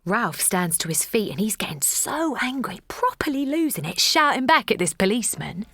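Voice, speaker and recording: A woman's voice: medium pitch, sing-song, moderate pace, bright, forceful tone, husky, dry recording, exaggerated voice. An actress playing a tired mother of a baby with an English accent, narrating confidingly in first person for an audio drama. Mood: uneasy. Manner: confiding, present-tense storytelling.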